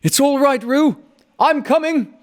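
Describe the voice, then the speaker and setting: A man's voice: high voice, huge pitch swings, unhurried, warm tone, slightly rough, pitched above the performer's own voice, full character voice. A man voicing an earnest young boy who leads his animal friends. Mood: eager. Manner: eager, then solemn.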